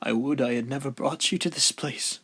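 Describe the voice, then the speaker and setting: A man's voice: mid-range voice, normal speed, a bit bright, breathy edge. A man playing an honor-bound, passionate lieutenant in a duel drama, intense and formal in his diction. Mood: pained, regretful. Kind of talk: stage-play audio drama acting.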